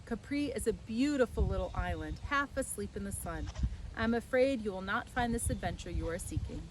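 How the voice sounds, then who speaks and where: A woman's voice: mid-range voice, lively intonation, brisk, background noise, close to an everyday voice. A woman voicing a modest, courteous Italian innkeeper, a hesitant and apologetic man in a storybook.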